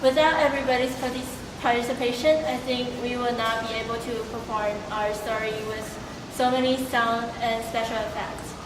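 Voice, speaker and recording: A woman's voice: fairly high pitch, fairly even intonation, normal speed. A young woman giving considered answers in an informal interview about her work. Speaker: student puppeteer being interviewed. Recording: echoey, distant, noisy room.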